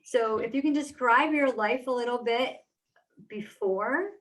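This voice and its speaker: A woman's voice: on the high side, unhurried, a bit bright. A woman host introducing her guest with enthusiastic, effusive praise. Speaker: podcast host. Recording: distant from the mic.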